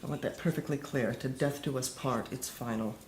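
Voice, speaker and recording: An actress playing a stern, devout, threatening wife of a powerful household in an audio drama, speaking in a cold, clipped, authoritative way. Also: female voice, very deep voice, fairly even intonation, a little fast, a little mellow. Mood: cold, warning. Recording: roomy echo, clear background noise.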